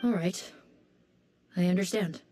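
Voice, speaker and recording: A woman's voice: fairly low pitch, measured pace, background noise, close to an everyday voice. A woman voicing a cautious, dutiful officer in a video game, serious and restrained.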